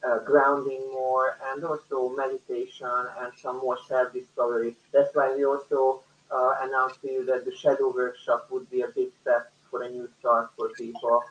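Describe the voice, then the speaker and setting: Man, flat delivery, halting, earnest, panel discussion, dull distant microphone. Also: mid-range voice. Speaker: panel speaker. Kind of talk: unscripted discussion. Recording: echoey, dull, distant-microphone call audio.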